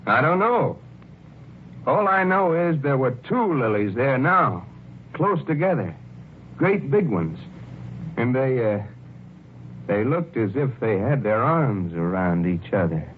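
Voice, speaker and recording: An actor playing a plain-spoken, baffled police lieutenant in conversational 1940s radio drama style, on an old recording. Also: male voice, medium pitch, very animated, slow pace, long pauses. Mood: defensive, bewildered. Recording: tinny old radio recording, faint noise.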